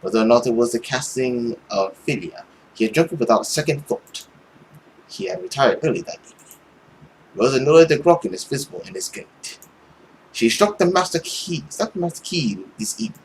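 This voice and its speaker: A young adult man reading a visual novel aloud as dramatic story narration, in a suspenseful, sometimes hushed manner with the odd stumble. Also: medium pitch, expressive, a little fast. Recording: noisy home recording with background ambience.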